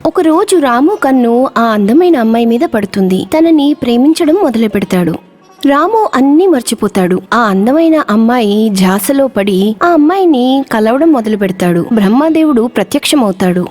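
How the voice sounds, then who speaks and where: A woman's voice: fairly high pitch, expressive, normal speed, darkish tone. A woman narrating a scripted children's story, with music under her voice.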